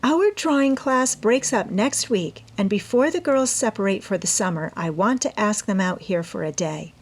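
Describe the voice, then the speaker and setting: A woman's voice: mid-range voice, normal speed, pitched above the performer's own voice, close to an everyday voice. A woman voicing a genteel, self-assured teenage girl with airy, persuasive manners in a storybook reading. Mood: polite, eager. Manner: airy, important, sweetly persuasive.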